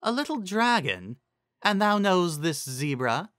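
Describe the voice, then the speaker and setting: A man acts out a haughty alicorn queen from a fantasy storybook. The voice is lifted and bright, with grandiose, old-fashioned phrasing and a commanding, easily angered temper. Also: high-pitched, big pitch swings, a little slow, no room sound, clean studio sound, voice pushed up, full character voice. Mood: condescending. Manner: grandiose, archaic, commanding speech. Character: imperious, wrathful alicorn queen of the sun, haughty and archaic.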